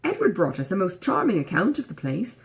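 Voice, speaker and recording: Audiobook role: pompous, effusive gentleman voiced by a woman. Over-polite, gushing, smug, highly animated intonation. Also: a little fast. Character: pompous, effusive, self-satisfied gentleman brother. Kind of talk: audiobook character dialogue.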